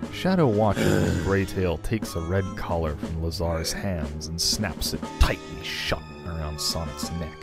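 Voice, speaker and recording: A man's voice: deep voice, measured pace, vocal fry, hardly any pauses. A man reading scripted narration aloud as narrator of a fan fiction story, with casual asides. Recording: loud background music. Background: music.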